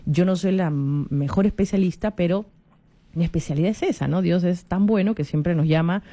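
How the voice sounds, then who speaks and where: A woman in her forties with a Peruvian Spanish accent talks on a radio program. Her voice is mellow and soft. She is chatty, keeps adding little tag questions and restarts her phrases as she goes. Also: lowish voice, normal speed. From Peru. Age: 40s. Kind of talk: casual radio talk.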